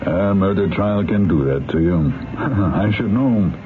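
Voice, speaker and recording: A probing, reassuring defense attorney in a vintage radio drama. His voice is very low and moves expressively as he questions. It comes through narrow and phone-like over music. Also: lively intonation, noisy background. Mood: reassuring, fussy. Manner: measured, questioning. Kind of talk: 1970s radio drama acting. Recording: muffled, phone-like old radio broadcast.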